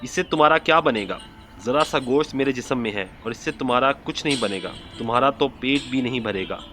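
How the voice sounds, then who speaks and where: A man voicing a small, wise female sparrow in a storybook moral tale, admonishing and deliberate as she gives advice. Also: mid-range voice, pushed and bright, soft background music, lightly acted. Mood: pleading.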